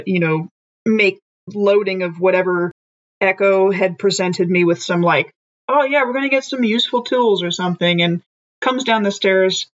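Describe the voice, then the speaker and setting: Hesitant woman on a dull lo-fi mic. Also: mid-range voice. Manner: hesitant, laughing, self-deprecating. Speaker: player. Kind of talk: in-character tabletop play.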